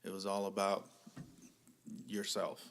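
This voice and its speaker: A man in his thirties, an army veteran, speaking haltingly with many fillers on a podcast. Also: sits low, completely flat delivery, fairly bright tone, slight background noise. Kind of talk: casual podcast chat.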